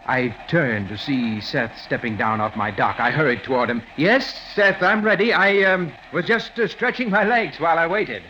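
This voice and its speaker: An American actor playing a fussy forty-year-old professor, precise and bookish with suppressed agitation, in 1940s radio drama style. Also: male voice, fairly high pitch, animated, moderate pace, hardly any pauses, pushed and bright. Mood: meek, flustered. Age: 40s. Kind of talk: 1940s radio acting, first-person narration. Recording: muffled, hissy 1940s radio recording.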